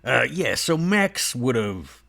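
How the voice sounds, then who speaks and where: A man's voice: mid-range voice, sing-song, very slow, creaky, pushed and bright. A man playing a tabletop roleplaying game, casually describing his character's actions in colloquial, offhand speech.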